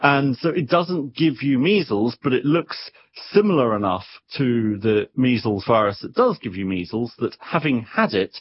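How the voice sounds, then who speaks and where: A man speaking as an expert guest, explaining things conversationally in an animated, wry way, sometimes doubling back on his words. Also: medium pitch, normal speed, hardly any pauses, slightly rough, dull audio.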